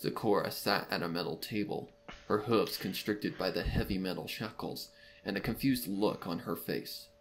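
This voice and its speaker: A man reading scripted narration for a dark fan fiction mystery story. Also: sits low, normal speed, poor audio. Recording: music under the voice.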